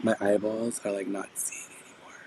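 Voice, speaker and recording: A man's voice: lowish voice, moderate pace, background noise. Playful man hosting a live sale. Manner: animated, playful announcing with sing-song countdown. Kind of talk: livestream selling chat. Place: small indoor room with shelves of plush toys. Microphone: webcam at desk.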